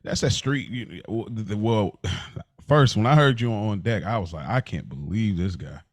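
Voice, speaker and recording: A man with a lowish voice, recorded very dry. He talks as a podcast co-host in loose, slangy conversation, starting and repeating phrases as he works toward his point. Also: no room sound. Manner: slangy, halting, repeats himself to make a point. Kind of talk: casual chat.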